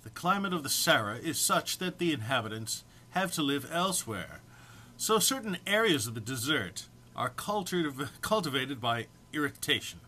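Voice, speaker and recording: Man, bright clear-edged voice, amateur reader, informal, mock-solemn, holding back laughter, faint background noise. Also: on the high side, sing-song, normal speed. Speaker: amateur reader recording for an online post. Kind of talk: informal introduction, then reading comic text aloud.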